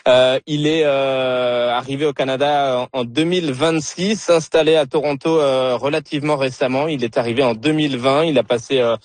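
A man on the radio reporting on a candidate, pausing often with fillers. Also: medium pitch, fairly even intonation, dry recording. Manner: hesitant, many fillers.

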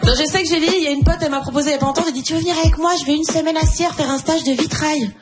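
Comedienne, quick and high, dry self-mocking jokes over music. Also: female voice, steady tone. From Switzerland. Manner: wry, self-mocking punchlines. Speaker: stand-up comedian. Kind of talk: stand-up set on stage.

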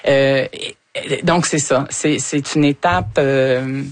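A woman in her sixties with a Quebec French accent, calling into a radio show and talking slowly through her thoughts with many fillers and restarts. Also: extremely low pitch, steady tone, dry recording. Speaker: radio caller. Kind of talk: reflective radio conversation.

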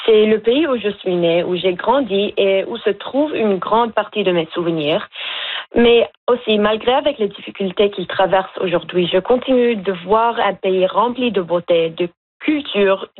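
A young woman from Lebanon calls in as a radio guest, speaking earnestly with frequent hesitations. Her mid-pitched voice comes through a tinny, narrow phone line. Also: a little slow. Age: young adult. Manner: earnest, hesitant with many fillers. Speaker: guest, veterinary student.

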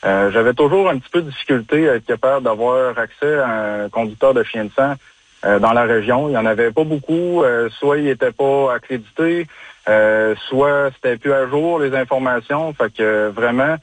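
A man's voice: medium pitch, no room sound. Hesitant young man with a Quebec accent. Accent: Quebec French. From Chaudière-Appalaches, Quebec. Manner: halting, frequent hesitations and restarts. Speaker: guest, bloodhound handler. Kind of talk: casual radio interview.

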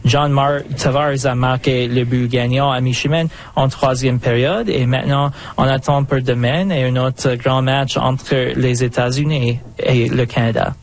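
A man's voice: medium pitch, fairly even intonation, measured pace, hardly any pauses. A man giving a live radio sports update as a reporter, stumbling over words now and then.

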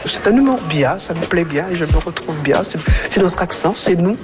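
A woman's voice: on the low side, animated, normal speed, music in the background. A woman spectator giving a candid, appreciative, casual interview. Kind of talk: casual vox pop.

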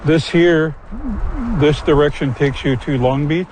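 A man's voice: fairly high pitch, steady tone, slow pace, darkish tone, slight background noise, low-quality recording. A man talking casually as a bike tour guide, recorded outdoors. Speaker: bike rental operator and guide. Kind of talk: casual chat. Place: outdoors on a forest trail.

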